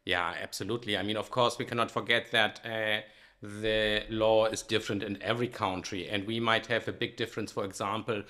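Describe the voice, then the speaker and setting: Middle-aged man with a German accent, crisp bright tone, hesitant guest who pauses and fills often. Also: fairly low pitch, fairly even intonation. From Galicia, Spain, raised in Germany. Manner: hesitant, many ums and uhs. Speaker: guest lawyer. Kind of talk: casual interview talk.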